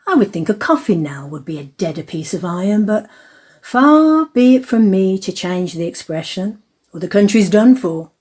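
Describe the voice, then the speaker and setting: A woman narrates a storybook drama. Her voice is husky and mellow, and she tells it with deliberate emphasis. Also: mid-range voice, expressive.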